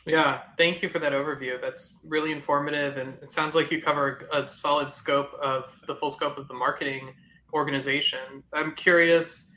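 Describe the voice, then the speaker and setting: A man's voice: medium pitch, pushed and bright. A man hosting a podcast interview in a conversational way, recorded with roomy echo. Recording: roomy echo, faint background noise.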